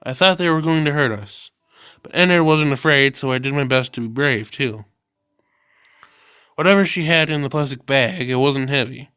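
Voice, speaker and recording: A man reads a visual novel aloud in a smooth, resonant voice. His telling stays subdued and steady. The sound is close and dry. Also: medium pitch, normal speed, no room sound. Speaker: video game narrator.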